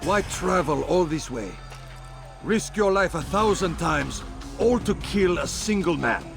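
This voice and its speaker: A proud crusader king in a video game. His delivery is grand and declamatory, swinging widely in pitch with a gravelly grain, over prominent music. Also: animated, unhurried, full character voice. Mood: probing, wry. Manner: regal, declamatory. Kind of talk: dramatic video game cutscene acting. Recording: music behind the voice.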